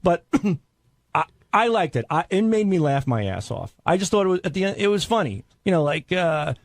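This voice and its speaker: Lively higher-pitched man, dry studio sound. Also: normal speed, dry recording. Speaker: radio show comic and song parodist. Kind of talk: casual radio banter.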